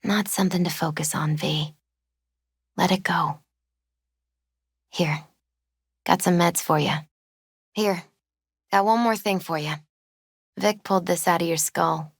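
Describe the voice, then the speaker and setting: A woman playing a gentle, spiritual young tarot reader in a video game, soft-spoken, unhurried and often hushed. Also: on the low side, fairly even intonation, breathy edge, dry recording, clean studio sound. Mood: tender.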